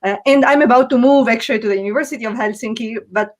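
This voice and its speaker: A woman's voice: a little high, very fast. Italian-accented woman physics professor. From Sicily, Italy. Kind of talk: self-introduction.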